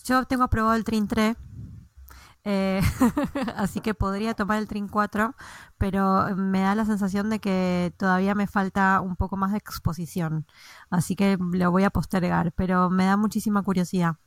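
A woman's voice: mid-range voice, little pitch movement, moderate pace, no room sound. A woman with an Argentine accent, co-hosting an interview podcast, who asks curious questions and shares her own experiences. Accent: Argentine Spanish. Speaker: podcast co-host. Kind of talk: casual interview conversation.

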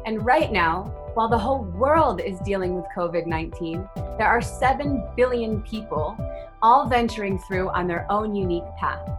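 A woman's voice: mid-range voice, expressive, normal speed. A woman podcast host reading a scripted, upbeat, inviting show introduction. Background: music.

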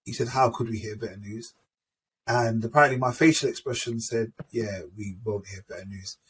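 A man's voice: medium pitch, steady tone, moderate pace, slightly rough. A man hosting a casual chat, easygoing and agreeable as he leads the segments. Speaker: podcast host. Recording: distant mic with faint background noise.

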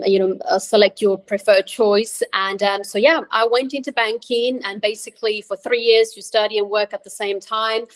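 A woman's voice: medium pitch, poor audio. A woman who grew up in Switzerland, a career coach talking as a podcast guest over a remote call, hesitant, with many ums and restarts. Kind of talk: conversational interview.

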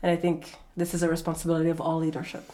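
A woman's voice: low voice, completely flat delivery, very fast, warm tone. A woman speaking firmly and emphatically as a podcast guest. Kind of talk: podcast conversation.

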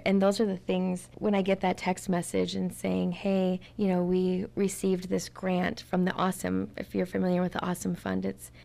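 Woman, middle-aged, interview guest, earnest, gravelly, level pitch. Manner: earnest, warm, repeats words for emphasis. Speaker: interview guest, community volunteer. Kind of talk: casual interview talk.

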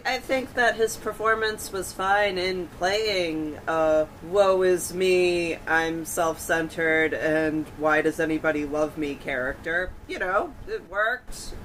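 A woman's voice: sits low, takes it slowly, hardly any pauses, a bit bright, a little hiss or hum, rough recording. A woman co-hosting a casual film discussion podcast. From Massachusetts, United States. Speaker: podcast co-host.

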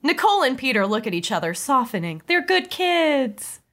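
A woman's voice: sits high, big pitch swings, normal speed, fairly bright tone. A woman reading stage directions in an amused way as the narrator of a comedic script table read.

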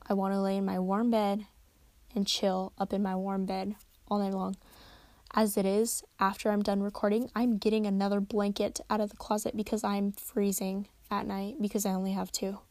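A tired young woman hosting a casual solo podcast at home, rambling and correcting herself, her voice slightly raspy. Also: mid-range voice, level tone, moderate pace, a little mellow, no room sound. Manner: rambling, chatty, self-correcting. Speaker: podcast host. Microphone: close desk microphone.

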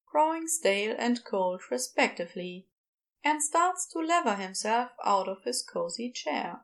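A woman's voice: a little high, gravelly. A woman narrating scripted fiction as an audiobook storyteller.

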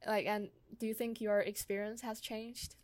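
A young woman speaking casually and personally, pausing often and peppering her sentences with "like". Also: fairly high pitch, flat delivery, a little mellow, dry recording. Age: young adult. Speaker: conversation host sharing own experience.

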